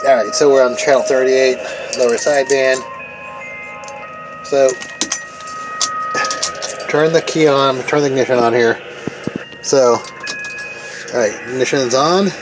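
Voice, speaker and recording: A man's voice: mid-range voice, fairly even intonation, slow pace, long pauses, music in the background, low-quality recording. A man giving a casual hands-on explainer, informal and thinking aloud as he tinkers. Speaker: hobbyist video maker demonstrating car radio gear. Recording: clear background vehicle and ambient noise. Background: vehicle and ambient noise.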